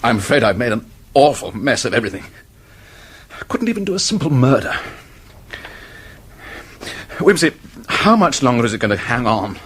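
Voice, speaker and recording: An actor playing a remorseful, middle-aged English office man confessing to murder, halting and stammering, in a radio drama. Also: medium pitch, very animated, a bit bright, slight background noise. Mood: shaken, confessing. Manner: halting, stammering, old-fashioned public-school phrasing.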